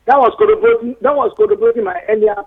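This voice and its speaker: A man's voice: high voice, very fast, husky. A man with a Yoruba Nigerian accent calling in to a talk show, arguing his point insistently and repeating himself. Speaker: caller. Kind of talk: phone-in opinion. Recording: tinny phone line.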